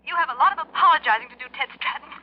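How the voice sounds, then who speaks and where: A woman's voice: sits high, lively intonation, racing. An actress playing an indignant, haughty woman in a 1940s radio mystery. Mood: reproachful. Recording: muffled, lightly noisy 1940s radio recording.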